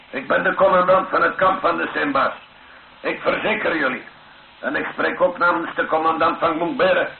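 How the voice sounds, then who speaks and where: An actor in a radio play as a slow, deliberate, authoritative male rebel commander. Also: a little high, vocal fry. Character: menacing, slow-spoken Simba rebel camp commander. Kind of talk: radio drama acting. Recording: echoey, tinny, noisy old radio recording.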